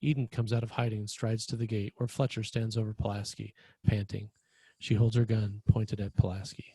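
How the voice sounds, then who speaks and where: A man's voice: on the low side, level tone, brisk, mellow, breathy edge, no room sound. A man reading scripted stage directions as the narrator of a screenplay table read.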